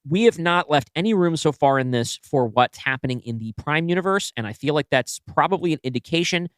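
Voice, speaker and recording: A man's voice: on the high side, animated, a little fast, fairly bright tone, smooth, dry recording. A man co-hosting a podcast, brainstorming in a casual, informal way and often checking in with "right?". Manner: informal, frequent "like" and tag "right?". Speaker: podcast co-host. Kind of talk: casual brainstorming chat about a story.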